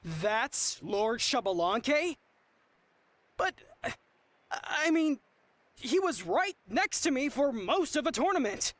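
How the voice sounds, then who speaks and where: Animated, earnest game girl. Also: high voice, slow pace, long pauses, a little hiss or hum, exaggerated voice. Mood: doubtful. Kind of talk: video game voice acting.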